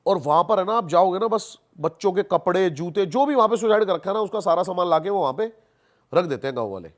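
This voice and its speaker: A man's voice: high-pitched, expressive, fast. A man telling stories casually as a podcast guest, colloquial and repeating himself for emphasis, mixing in English words. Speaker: podcast guest, haunted-place investigator. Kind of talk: animated casual storytelling on a podcast.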